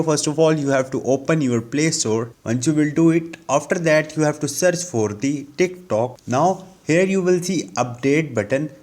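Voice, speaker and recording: A man's voice: on the high side, darkish tone. A man hosting an instructional tutorial, giving simple step-by-step directions in non-native English phrasing. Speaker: tutorial host. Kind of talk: instructional screen tutorial narration.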